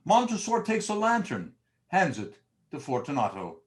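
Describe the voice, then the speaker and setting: A man's voice: on the high side, wildly swooping pitch, moderate pace. A man narrating a period tale as a scripted dramatic storyteller.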